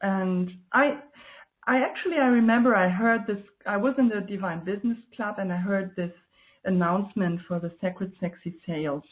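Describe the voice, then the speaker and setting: A woman's voice: mid-range voice, low-quality recording. A woman from Germany, a native German speaker with a German accent, speaks as an interview guest in a reflective, casual way, often searching for her words. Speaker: guest, client giving a testimonial.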